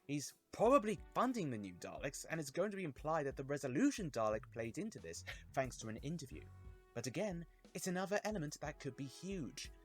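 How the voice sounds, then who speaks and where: A man delivers scripted commentary to viewers as a video host, leaning dramatically on key words. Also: on the high side. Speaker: YouTube reviewer and host.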